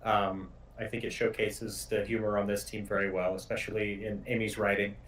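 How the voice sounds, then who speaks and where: A man who develops games chats casually in an interview. His voice sits on the low side and is picked up some way from the microphone. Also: little pitch movement, moderate pace, fairly bright tone, a little hiss or hum. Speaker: game developer. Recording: distant mic.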